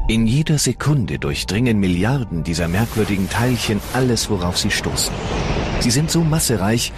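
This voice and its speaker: Male documentary narrator, low register, measured and solemn, music bed underneath. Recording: voice-over with loud music behind.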